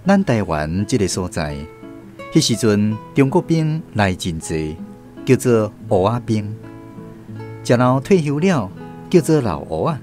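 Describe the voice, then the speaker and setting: A man's voice: fairly low pitch, sing-song, a little slow, darkish tone. A man with a Taiwanese accent telling a story as a radio drama narrator, in a folksy, explanatory way with rhetorical questions. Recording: guitar music under the voice. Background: plucked guitar music.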